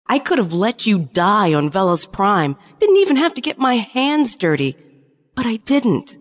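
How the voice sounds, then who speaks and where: A woman's voice: medium pitch, big pitch swings, unhurried. A woman playing a shapeshifting alien spy in an audio drama, a conflicted lover pleading her case earnestly. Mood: defensive, bitter. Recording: long reverberant hall sound.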